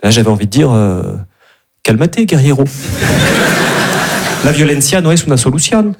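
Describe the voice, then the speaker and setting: A man's voice: medium pitch, big pitch swings, moderate pace, noisy background. A man performing a stand-up set, ironic and mock-snobbish, addressing a small live audience. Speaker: stand-up comedian. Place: comedy club stage.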